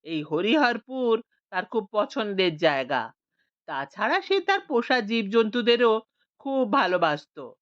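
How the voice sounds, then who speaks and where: A woman voicing a quiet, thoughtful village man who speaks earnestly in a Bengali audio story. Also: mid-range voice, very animated, measured pace, no room sound, muffled recording.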